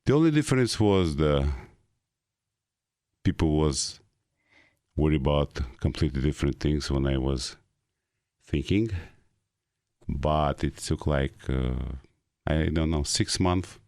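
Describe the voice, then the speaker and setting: A middle-aged man from Russia with an Ossetian mother tongue, speaking halting, Russian-accented English as an interview guest. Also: extremely low pitch, animated, a little mellow, no echo, studio quality. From North Ossetia, Russia. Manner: halting English with frequent uh pauses. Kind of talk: casual interview.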